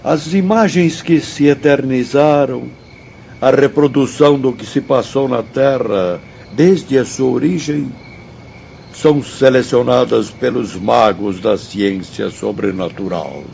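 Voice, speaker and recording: An actor with a Brazilian accent playing a learned, courteous spirit teacher in a radio drama, speaking in a formal, measured, didactic way. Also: male voice, medium pitch, vocal fry, a little mellow. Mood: solemn, didactic. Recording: dull audio, faint noise, night crickets.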